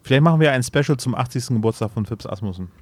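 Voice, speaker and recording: A man's voice: mid-range voice, expressive, very fast, no echo. A man co-hosting a podcast in casual chat, playful and teasing. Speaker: podcast co-host.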